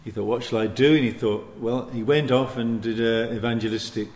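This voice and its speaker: A man preaching in a teaching sermon, speaking in deliberate, emphatic short phrases with pauses between them. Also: mid-range voice, steady tone, a little mellow, slight background noise. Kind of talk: sermon teaching with scripture and anecdotes.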